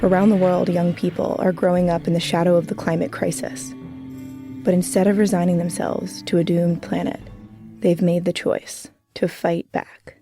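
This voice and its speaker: Woman host narrating a trailer, deep-ish and a bit gravelly, calm and earnest. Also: moderate pace, music in the background. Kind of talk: scripted documentary narration.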